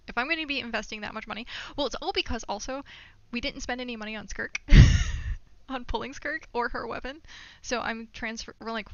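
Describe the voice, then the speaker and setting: A woman livestreaming games in a high, bright voice. She chats casually and giddily, rambling and poking fun at herself. The sound is slightly dull and lo-fi, from a budget desktop microphone. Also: dry recording. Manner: giddy, giggly, rambling, self-deprecating. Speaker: live game streamer. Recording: dull lo-fi streaming mic.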